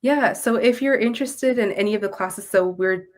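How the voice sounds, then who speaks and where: A woman's voice: mid-range voice, moderate pace, a little mellow. A woman with a Canadian accent speaking as an interview guest in a reflective, articulate, conversational way. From Toronto, Canada. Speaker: guest, content creator and Tamil language teacher.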